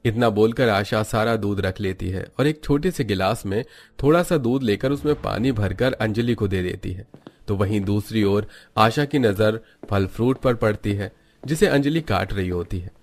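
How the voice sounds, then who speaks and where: A man's voice: lowish voice, moderate pace, faint music behind. A man narrating a scripted cartoon story as its storyteller.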